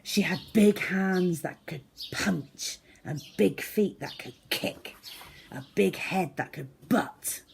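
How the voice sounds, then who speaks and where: A woman reading a children's book aloud as a storyteller, in an expressive, theatrical manner. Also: fairly low pitch, slow pace, creaky, a little hiss or hum. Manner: animated, theatrical, with acted-out sound effects.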